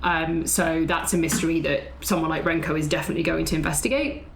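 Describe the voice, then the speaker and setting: A woman's voice: low voice, steady tone, fast talker, gravelly, a little hiss or hum, rough recording. A woman player casually improvising a description of her character for a tabletop roleplay game.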